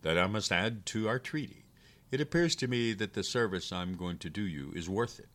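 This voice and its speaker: A man voicing a shrewd, unhurried soldier in a storybook tale, calm, teasing and stubborn as he bargains. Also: fairly low pitch. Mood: shrewd bargaining. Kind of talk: storybook character voice.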